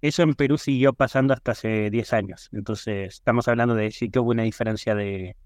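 A man with an Argentine Spanish accent answers as a podcast guest in a conversational, measured, explanatory way. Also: mid-range voice, fairly even intonation, darkish tone, dry recording, clean studio sound. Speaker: podcast guest, e-commerce professional.

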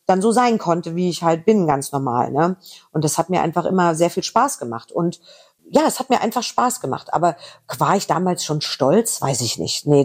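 A middle-aged woman from Berlin with a faint Berlin accent talks as an interview guest. Her voice is a little husky, and she rambles quickly and eagerly through her anecdotes. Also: on the low side, darkish tone. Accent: slight Berlin. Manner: chatty, fast, rambling anecdotes. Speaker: voice actress guest. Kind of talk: casual interview chat.

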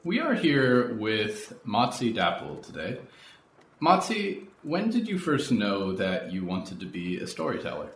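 Low-voiced male interviewer in echoey room. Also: huge pitch swings, a little slow, fairly bright tone. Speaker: podcast host, interviewer. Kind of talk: conversational interview. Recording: roomy echo, faint noise.

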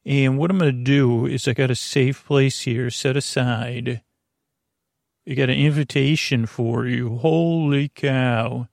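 A man with a heavily creaky, fry-laden voice delivers a rambling bedtime monologue as a podcast host. He drifts off on tangents, stops and starts, and stumbles over words. The sound is dry, with no room echo. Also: medium pitch, fairly even intonation, a little mellow. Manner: meandering, halting, digressive, stumbling over words.

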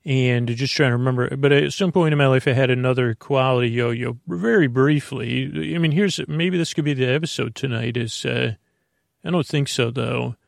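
A man's voice: mid-range voice, normal speed, no echo, clean studio sound. A man hosting a sleep podcast, rambling in a hesitant, meandering way with lots of fillers and restarts. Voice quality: creaky. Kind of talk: rambling bedtime monologue.

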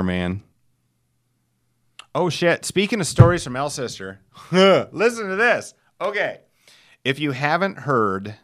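A middle-aged man with a smooth, clear voice, recorded close and dry. He hosts a podcast as a loose, rambling storyteller. His manner is folksy and salty, with self-deprecating humor. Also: on the low side, a bit bright, no room sound. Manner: chuckles while telling stories. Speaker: podcast host.

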